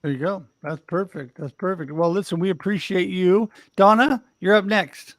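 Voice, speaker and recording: A man with a notably high voice hosts a talk show over a video call. He talks upbeat and encouraging, and the sound is dry and close. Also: moderate pace, fairly bright tone, no echo. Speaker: show host. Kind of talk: casual interview hosting.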